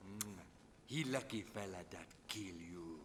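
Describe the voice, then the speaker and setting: A menacing cannibal chief in broken English. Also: male voice, deep voice, measured pace, background noise. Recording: long reverb with game music under it.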